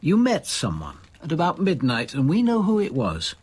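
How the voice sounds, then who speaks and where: An actor playing a composed, probing police detective in a British radio drama, formal, precise and insistent. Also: male voice, fairly high pitch, wildly swooping pitch, moderate pace, husky, exaggerated voice.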